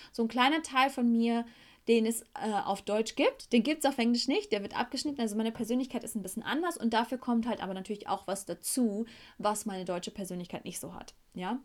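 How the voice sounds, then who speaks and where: A woman in her early thirties from Germany, speaking reflectively in a personal podcast monologue and searching for her words as she goes. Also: a little high. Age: 30s. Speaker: podcast host and tantra teacher.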